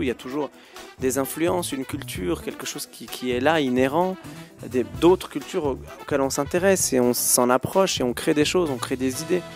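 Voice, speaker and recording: Gravelly man answering with many restarts. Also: medium pitch, darkish tone. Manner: thoughtful, hesitant with many fillers and restarts. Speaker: guest musician. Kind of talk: interview answers.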